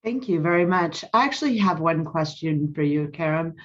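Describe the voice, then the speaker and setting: A woman's voice: low voice, expressive, moderate pace. A woman asking a company CEO direct, pointed questions at a conference. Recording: dull lo-fi audio.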